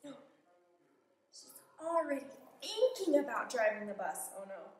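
A young woman, a kindergarten teacher, doing an interactive read-aloud with animated, coaxing questions for young listeners. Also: high voice, a little slow, a little hiss or hum. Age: young adult. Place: small indoor classroom. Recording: very echoey classroom.

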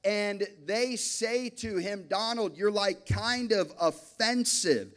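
A man in his thirties preaching a sermon on stage into a handheld mic, emphatic and deliberate, with dramatic pauses. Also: sits high, fairly bright tone. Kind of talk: sermon to a church congregation. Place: large dark church auditorium, on stage before an audience. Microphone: handheld microphone.

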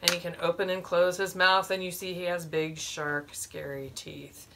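A woman's voice: lowish voice, little pitch movement, moderate pace, gravelly, pushed and bright. A woman in her forties hosting a children's storytime, explaining a simple craft step by step from a small room. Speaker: librarian, storytime host.

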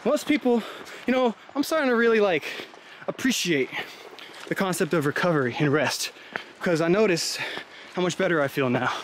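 A man's voice: sits high, expressive, moderate pace, a little hiss or hum. A young man chatting and joking while hiking on a wooded trail, out of breath, filming himself with a handheld camera. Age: young adult. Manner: chatty, joking, rambling with fillers. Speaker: vlogger. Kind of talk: casual vlog while hiking. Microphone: handheld camera at arm's length.

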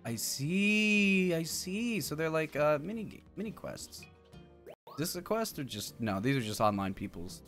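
Animated, bright-voiced man streaming a game. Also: a little high, a little slow. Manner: profane, jokey banter. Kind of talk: casual gameplay commentary. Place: indoor streaming setup. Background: game music.

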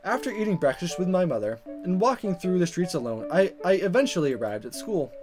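A woman let's player reading a visual novel aloud in expressive narration with informal asides and stumbles over the script. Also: on the low side, brisk. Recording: music behind the voice.

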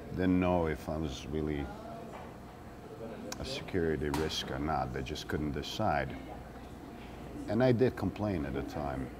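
A middle-aged man acting a theatrical monologue as a wry former activist with a grievance, speaking deliberately in short phrases with pauses. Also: low-pitched, background noise. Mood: wry, recounting. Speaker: actor reading a monologue. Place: indoor room with shelves of glassware.

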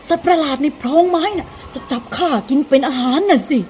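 A woman's voice: high voice, fast, a little mellow, a little hiss or hum, voice pushed up, lightly acted. A woman voicing a big but cowardly, suspicious tiger in a children's folktale, flustered and defensive. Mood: frightened.

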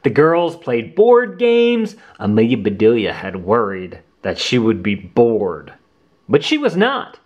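A man reading a children's storybook aloud as host, in an animated, deliberate way for young listeners. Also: mid-range voice, lo-fi sound. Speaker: children's book reader and channel host.

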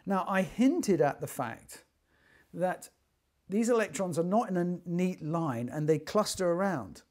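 A man's voice: sits high, animated, a little slow, darkish tone. A man in his sixties giving an informal technical explanation in an emphatic, storytelling manner. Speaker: loudspeaker designer explaining his craft. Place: small furnished sitting room indoors.

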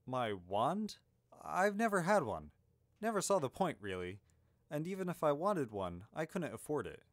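A man voicing a young adventuring wizard in a fantasy audiobook, earnest, excitable and blithely matter-of-fact. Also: fairly high pitch, normal speed, no echo, studio quality, voice pushed up. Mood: proud, frank.

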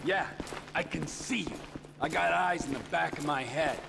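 Excitable video game crook disguised as a guard, with a high voice full of gravel. He wisecracks loudly, and the sound rings in a reverberant hall with clear background noise. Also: normal speed. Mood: cocky. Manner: wisecracking, loud, animated. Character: cocky, excitable male robber posing as armored-car guard.

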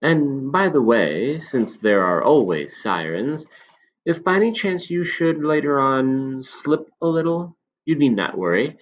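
A man voicing a sly elderly male potion seller who coaxes with smooth, insinuating persuasion. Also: mid-range voice, big pitch swings, measured pace, voice pushed up, low-quality recording. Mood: coaxing.

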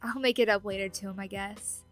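A woman playing a friendly, teasing young ghost roommate in an ASMR roleplay audio drama, chatty and expressive. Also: mid-range voice, fairly quick, faint music behind, natural voice. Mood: chatty, candid.

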